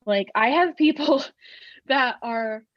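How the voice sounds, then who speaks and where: A woman's voice: a little high, slow, no echo, muffled recording. Chatty woman in her twenties. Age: 20s. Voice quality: nasal. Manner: chatty, filler-heavy, laughs often. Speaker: podcast guest. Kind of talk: casual chat.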